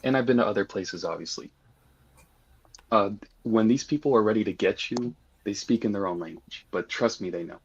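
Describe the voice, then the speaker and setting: A man's voice: on the low side, normal speed, breathy edge, lo-fi sound. A man giving earnest, cautionary advice in a casual discussion. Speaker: guest.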